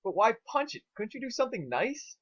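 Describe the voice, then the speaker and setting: A man's voice: very high-pitched, sing-song, brisk, a bit bright, dry recording, dull audio, voice pushed up, exaggerated voice. A man voicing a worried young male dragon sidekick, protesting and pleading in an amateur dramatic reading. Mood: alarmed, pleading. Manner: alarmed, pleading.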